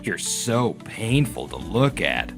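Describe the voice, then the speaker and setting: A man's voice: lowish voice, big pitch swings, slow pace, over music, full character voice. A man playing an arrogant, condescending rich young groom in a manga dub. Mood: contemptuous.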